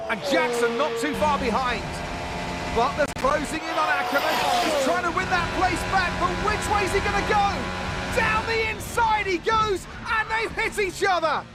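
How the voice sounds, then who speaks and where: A man performing as an excited lead motor race commentator in a video game. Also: extremely high pitch, normal speed, loud music behind the voice.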